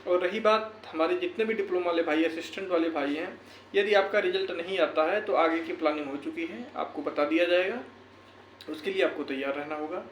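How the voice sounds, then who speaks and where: A man's voice: fairly high pitch, fairly quick. A man speaking to viewers in an earnest, exhortative way, repeating phrases for emphasis in a motivational talk. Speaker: YouTuber and educator. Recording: echoey room, faint background noise.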